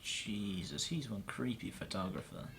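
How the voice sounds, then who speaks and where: A man's voice: lowish voice, expressive, a little slow, gravelly, noisy background. A man giving casual, offhand let's play commentary, muttering to himself and swearing now and then. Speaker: gamer, let's play host.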